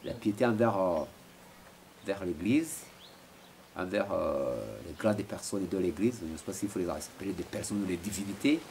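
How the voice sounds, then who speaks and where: A man's voice: deep voice, moderate pace, a little mellow. A man in his forties from Rwanda, answering interview questions at home with many hesitations and repeated words. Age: 40s. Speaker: interviewee, philosopher. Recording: noisy home recording.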